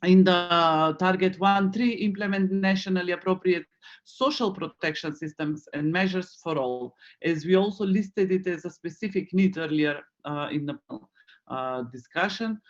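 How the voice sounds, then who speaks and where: A man speaking as an activist on an online panel, measured and thoughtful with many fillers, over a lo-fi call line. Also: sits high. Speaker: queer rights activist on a panel.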